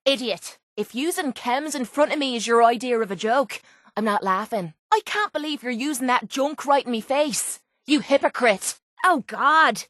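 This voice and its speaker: A woman's voice: fairly high pitch, lively intonation, moderate pace, fairly bright tone, no room sound, studio quality. A woman voicing a tough, foul-mouthed young pit fighter in a video game, with an Irish accent and a blunt, cocky, sarcastic way of talking. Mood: disgusted, scolding. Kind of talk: video game companion voice lines.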